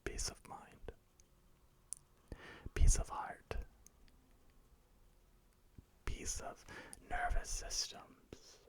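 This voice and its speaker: A man whispering a slow guided meditation, softly repeating calm reassurances. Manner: slow, soft, repetitive reassurance.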